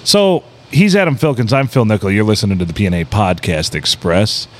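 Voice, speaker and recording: A man's voice: on the low side, expressive, brisk. A man co-hosting a casual podcast from a small tavern, sounding a bit nasal, joking, laughing and swearing freely. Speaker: podcast co-host. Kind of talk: casual bar podcast banter.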